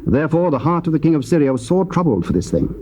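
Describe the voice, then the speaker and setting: A man narrating scripture in a measured, stately reading. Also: fairly high pitch, very animated, warm tone. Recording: faint tape hiss.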